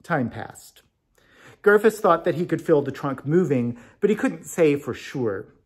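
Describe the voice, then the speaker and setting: A man reading a storybook tale aloud as narrator, in an expressive scripted style. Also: a little high, unhurried, breathy edge. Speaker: story reader.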